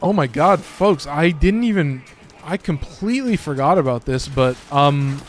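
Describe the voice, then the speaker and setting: A man with a slightly hoarse, raspy voice, giving loose and profane casual commentary while streaming a game. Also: on the high side, lively intonation, a little slow, slight background noise. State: a little hoarse. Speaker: gaming streamer.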